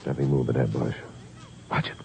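An actor playing a suave, steady lawyer hero with measured, controlled delivery in a 1950s radio drama. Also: male voice, a bass voice. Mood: tense, controlled. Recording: dull, hissy old radio recording.

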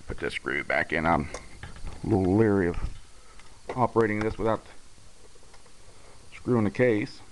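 A middle-aged man casually demonstrating his hobby in a plain, folksy, halting way while he works, recorded on a handheld camera indoors. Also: fairly low pitch, lively intonation. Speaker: amateur radio hobbyist. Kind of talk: casual hands-on demonstration talk. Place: indoors at a radio bench. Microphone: handheld camera's built-in mic. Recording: noisy camera audio with radio static. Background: radio receiver noise and static.

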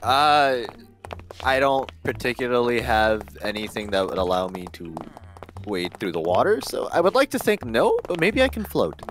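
Man playing a musing, animated dragon student. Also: mid-range voice, moderate pace, a little hiss or hum. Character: musing male student who turns into a dragon. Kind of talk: improvised Minecraft roleplay.

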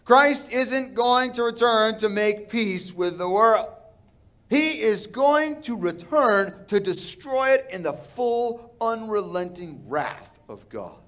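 A man's voice: sits high, animated, takes it slowly, fairly bright tone. A man in his thirties with a Texan accent, preaching a sermon earnestly from a church pulpit. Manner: earnest preaching with rising and falling emphasis. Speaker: pastor. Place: large church sanctuary, indoors. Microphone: pulpit microphone.

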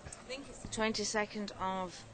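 A woman co-hosting a casual podcast, her voice hoarse from shouting and singing, talking enthusiastically outdoors. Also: mid-range voice, moderate pace. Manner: enthusiastic, effusive. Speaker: podcast co-host. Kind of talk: casual chat. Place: outdoors at a festival.

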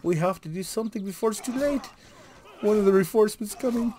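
A man's voice: high-pitched, fast, warm tone, voice pushed up, close to an everyday voice. A man voicing a brash, scornful female army sergeant from a game, with a sarcastic, lilting delivery. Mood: urgent. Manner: sarcastic, mock leprechaun lilt. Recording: noisy home recording.

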